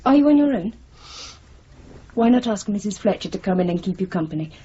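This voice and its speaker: Mellow, concerned British woman played by an actress. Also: medium pitch, animated, brisk, lightly acted. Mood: concerned, coaxing. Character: concerned, patient daughter, torn between mother and partner. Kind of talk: naturalistic radio drama acting. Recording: dull radio drama recording, faint noise.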